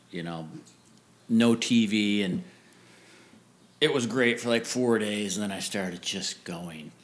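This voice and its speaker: A man with a lowish voice chats in a relaxed, meandering way, often restarting his sentences. He laughs easily as he talks, recorded at home. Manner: rambling, self-correcting, laughs easily. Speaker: musician guest. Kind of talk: casual interview chat. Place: family home.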